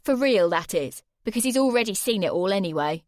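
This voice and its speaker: A woman's voice: medium pitch, lively intonation, fairly quick, dry recording, clean studio sound, natural voice. A woman reading aloud and voicing a cruel, bullying teenage boy with a cold, sneering delivery.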